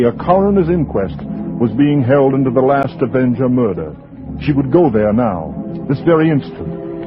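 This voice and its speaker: A man narrating a 1940s radio suspense tale as a grave, measured, dramatic storyteller. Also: mid-range voice, close to an everyday voice. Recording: muffled, noisy 1940s radio recording.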